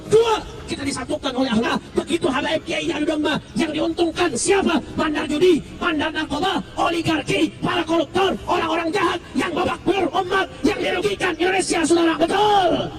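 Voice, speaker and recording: A man preaching a fiery sermon at a rally, shouting and calling on the crowd to answer back. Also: very high voice. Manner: shouting, call-and-response with crowd. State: recovering from flu. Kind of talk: fiery open-air sermon. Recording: distant mic with music behind. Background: music.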